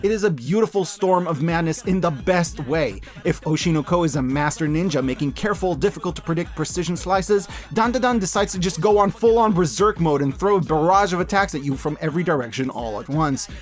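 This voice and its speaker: A man's voice: a little high, lively intonation, brisk, few pauses, faint music behind. A man hosting a scripted media review, speaking casually and emphatically with enthusiasm and salty language. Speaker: host of a media review channel.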